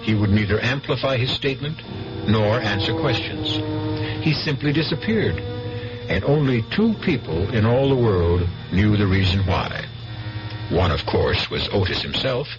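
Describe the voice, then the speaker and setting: An actor playing a grave, theatrical narrator of a 1970s radio mystery, portentous and measured in delivery. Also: male voice, lowish voice, animated, lightly acted. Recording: dull old radio broadcast. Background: music.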